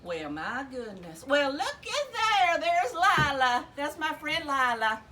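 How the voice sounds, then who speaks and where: A woman's voice: high-pitched, very animated, slow pace, very noisy, heavily acted. A woman doing a whooping trail mama. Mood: hammy. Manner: exclamatory, theatrical, calls herself Mama. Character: loud folksy Southern mama hiker, middle-aged woman. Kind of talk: comic character bit.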